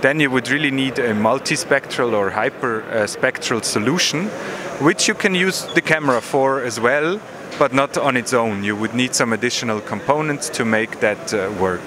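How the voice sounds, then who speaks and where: A man in his thirties or forties giving a measured technical explanation in an interview, pausing to find words, on a handheld microphone. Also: medium pitch, a crowd in the background. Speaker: product manager explaining a demo. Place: busy exhibition hall booth. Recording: noisy trade fair hall ambience.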